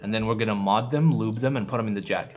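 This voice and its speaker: Rambling man streaming, soft music. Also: lowish voice, quick pace. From United States. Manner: rambling, swears freely, playful groans. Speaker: keyboard streamer. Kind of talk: casual live-stream chat answering viewers.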